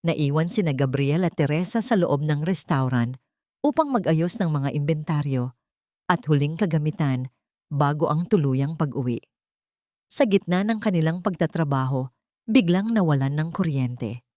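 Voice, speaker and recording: A woman's voice: low-pitched, expressive, normal speed, darkish tone, no echo, studio quality. A woman with a Filipino accent narrating a dramatic scripted story as a storyteller.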